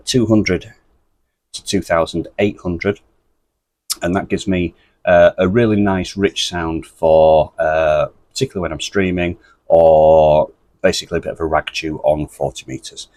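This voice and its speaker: British guy with a real low bassy voice walking you through radio settings, dry close mic. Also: a little slow, no room sound. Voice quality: deep, bassy voice. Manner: steady, methodical, explains with fillers. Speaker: ham radio tutorial presenter. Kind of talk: step-by-step technical tutorial.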